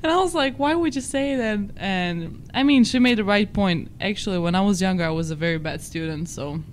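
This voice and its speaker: A woman's voice: mid-range voice, animated, brisk, a little hiss or hum. Croatian-accented young woman, chatty. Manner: chatty, self-deprecating, non-native phrasing. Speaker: podcast co-host. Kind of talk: casual chat.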